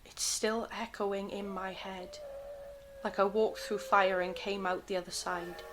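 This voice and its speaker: A woman's voice: mid-range voice, little pitch movement, a little slow, noisy background, natural voice. A woman playing a shaken, defiant survivor of an ordeal in an audio drama, intense and earnest. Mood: haunted.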